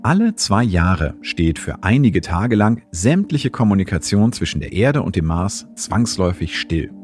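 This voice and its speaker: A male host delivers scripted science narration in a documentary style. His voice is deep and moves with lively intonation over faint music.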